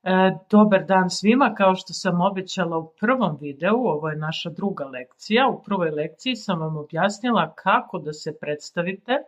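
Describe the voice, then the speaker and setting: A woman in her forties from Serbia with a Serbian accent, a native Serbian speaker giving an informal language lesson in a halting, deliberate way. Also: on the low side, lively intonation. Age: 40s. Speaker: language teacher. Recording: dull lo-fi sound.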